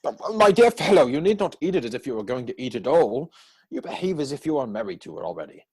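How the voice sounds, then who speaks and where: A man acting a witty, idle young gentleman from a period comedy. His voice is slightly husky and swings widely in pitch, with arch, playful self-satisfaction in a theatrical reading. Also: big pitch swings, fast. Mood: witty, pompous. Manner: mannered, arch, theatrical delivery.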